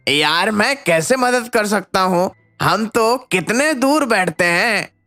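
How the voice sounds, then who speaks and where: A woman voicing a casual schoolboy classmate in an animated story. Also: mid-range voice, slow, soft background music, voice pushed down. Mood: doubtful.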